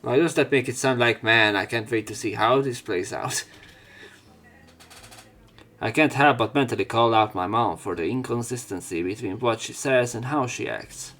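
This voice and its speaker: A young man narrating a visual novel let's play, reading the story plainly and getting louder when voicing the hero. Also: mid-range voice, moderate pace. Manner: reads narration plainly, jumps up louder for the hero's lines.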